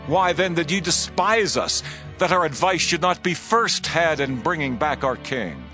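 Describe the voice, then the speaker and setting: Male actor as fierce Bible-drama spokesman, bright forceful voice, indignant, music behind. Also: a little high, expressive, a little slow.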